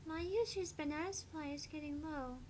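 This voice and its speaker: A woman's voice: sits high, brisk, darkish tone, slight background noise, close to an everyday voice. A woman voicing a sweet, childlike teenage girl, bubbly and naive, with a cutesy sing-song delivery in a visual novel game. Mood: plaintive.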